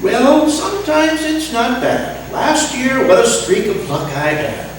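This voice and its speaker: A woman playing an excitable, penniless music maestro with a gambling habit, theatrical and wisecracking in an old-time radio sitcom. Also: sits high, slow, exaggerated voice. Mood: eager. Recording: echoey, distant stage recording, faint noise.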